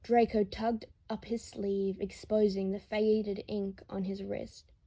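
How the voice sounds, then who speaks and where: Mellow-voiced woman narrating a story. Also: mid-range voice, little pitch movement, takes it slowly, breathy edge. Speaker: podfic narrator. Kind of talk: dramatic scripted story narration.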